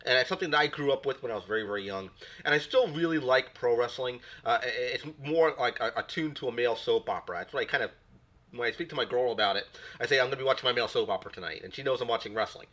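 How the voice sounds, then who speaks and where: A man's voice: medium pitch, rapid-fire, pushed and bright. A man hosting a live stream in casual chat, recovering from a cold, rambling with repeated words and greeting viewers. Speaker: stream host.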